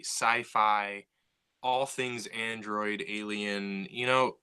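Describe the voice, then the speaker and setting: A man's voice: mid-range voice, sharp tone, clear, resonant tone. A man co-hosting a casual podcast, explaining his ideas and building his points step by step. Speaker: podcast co-host and writer.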